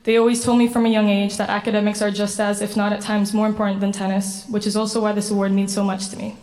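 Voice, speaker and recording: A woman's voice: mid-range voice, quick pace. A young woman from Sweden, a university student athlete, giving an earnest prepared acceptance speech. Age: 20s. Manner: earnest, steady, slightly read-aloud delivery. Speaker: award recipient giving a speech.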